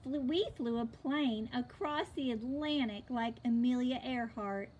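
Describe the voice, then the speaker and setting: A confident tomboy girl from a storybook, played by a woman reader, talks in a high, emphatic voice. She sounds questioning, over faint background noise. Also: measured pace, darkish tone, muffled recording, natural voice. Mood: proud. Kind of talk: storybook read-aloud.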